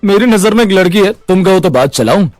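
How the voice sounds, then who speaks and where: Man doing an eager, high-pitched matchmaker. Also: animated, no echo, faint music behind. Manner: brisk, persuasive. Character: eager male matchmaker friend, chatty and persuasive. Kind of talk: cartoon moral story dialogue.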